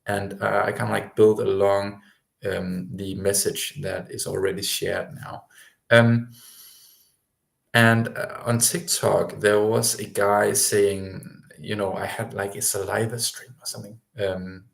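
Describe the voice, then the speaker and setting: A man's voice: on the low side, little pitch movement, a little slow, vocal fry, roomy sound. A man coaching language learners in a livestream, talking with rambling enthusiasm and lots of fillers. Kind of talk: livestream talk to viewers.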